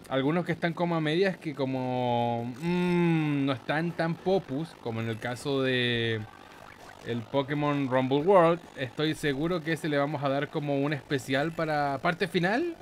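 Man, Chilean accent, fairly high voice, playful gamer commentary, slangy exclamations, some background game noise. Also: unhurried. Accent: Chilean Spanish. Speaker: gaming YouTuber, host. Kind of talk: casual excitable let's play commentary.

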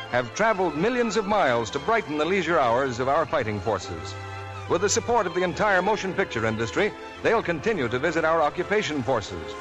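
An earnest man announces on an old radio broadcast, his pitch rising and falling a lot. His slightly high voice carries over clear music in the background. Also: low-quality recording. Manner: earnest, declamatory.

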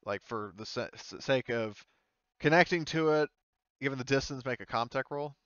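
A man's voice: medium pitch, moderate pace, a bit bright, clear, resonant tone, no room sound, lo-fi sound. A man running a tabletop game as game master, narrating off the cuff in an informal, explanatory way and swearing casually.

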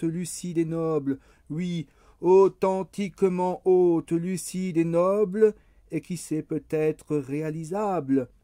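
A man recites poetry in a dark, soft-edged voice. He takes his time over each phrase, solemn and theatrical, with deliberate pauses. The sound is dry, with no room around it. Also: a little high, no room sound.

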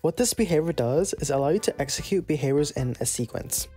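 A casual, playful host narrating a scripted software tutorial. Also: medium pitch, lively intonation, fairly quick, faint music behind.

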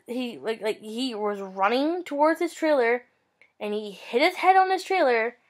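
Young woman, slightly high voice, upbeat chatty vlogger, webcam in a small bedroom. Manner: chatty, upbeat, animated hand gestures. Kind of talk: casual storytime vlog. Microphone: webcam at close range.

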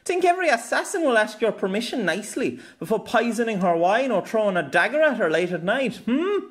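A man's voice: high-pitched, big pitch swings, brisk, pitched above the performer's own voice. A man voicing a jovial, teasing veteran swordmaster in a fantasy audiobook. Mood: taunting. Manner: playful, teasing.

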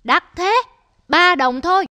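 A woman's voice: very high-pitched, lively intonation, slow pace, sharp tone, exaggerated voice. Woman playing a smug greedy rich old man. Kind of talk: animated folk tale voice acting.

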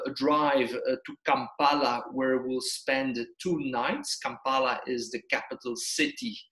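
A man from Belgium who grew up in the Congo, a tour leader giving a slideshow presentation of a trip, with frequent hesitant fillers. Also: a little high. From Belgium, raised in Congo. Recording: echoey room on webcam.

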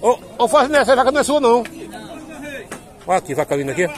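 A man's voice: very high voice, very animated, fast talker. A man vlogging at an outdoor cattle fair, chatty and upbeat, asking sellers their prices on a phone mic. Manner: upbeat, chatty, asks prices and praises the cows. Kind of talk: casual walk-and-talk interviews. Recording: outdoor phone recording with crowd around.

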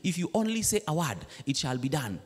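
A man's voice: on the high side, big pitch swings, fairly quick, breathy edge. A man with a Kenyan accent preaching a sermon in a church, switching between English and Swahili slang. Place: church hall. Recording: long church reverb.

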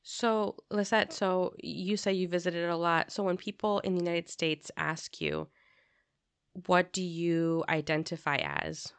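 A woman's voice: on the low side, animated, measured pace, clear, resonant tone, no echo. A woman hosting a podcast interview, asking questions and sharing her own experience in casual talk. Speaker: podcast host.

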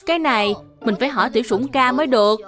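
Woman doing a helpful little boy in a kids cartoon, high and childlike, music playing behind. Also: quick pace, close to an everyday voice. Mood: helpful, curious. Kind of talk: dubbed children's cartoon acting.

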